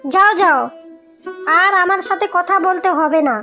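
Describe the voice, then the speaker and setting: Woman acting very high, bitter jealous cartoon wife. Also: a little slow, sharp tone, full character voice. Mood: angry, sulking. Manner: complaining, sarcastic, vindictive. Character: jealous, resentful elder daughter-in-law, scheming and bitter. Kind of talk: Bengali moral-story cartoon acting. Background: background music.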